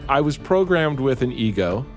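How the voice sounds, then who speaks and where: A man's voice: mid-range voice, sing-song, normal speed, a little mellow, soft background music. A man chatting casually as a guest in a radio studio. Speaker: sound engineer and voice actor, interview guest. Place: radio studio control room.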